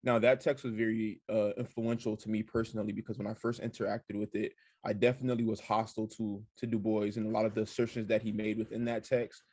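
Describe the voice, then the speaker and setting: A young man, a program alumnus, reflecting thoughtfully on a text with deliberate, hesitant pacing. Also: fairly low pitch, little pitch movement, darkish tone, slightly rough, no room sound. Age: young adult. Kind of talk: reflective personal testimony.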